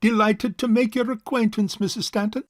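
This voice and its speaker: An actor playing a middle-aged bachelor uncle in a stage farce, courtly, hesitant and long-winded. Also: male voice, high-pitched, fairly even intonation, normal speed, no echo, clean studio sound, natural voice. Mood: courtly, amused. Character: suave, hesitant rich older bachelor uncle. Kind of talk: stage farce audio drama acting.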